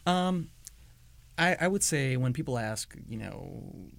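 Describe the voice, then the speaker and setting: A man's voice: mid-range voice, very animated, takes it slowly, vocal fry, dry recording. A middle-aged man from Iran answering interview questions in a hesitant way, with many ums and restarts.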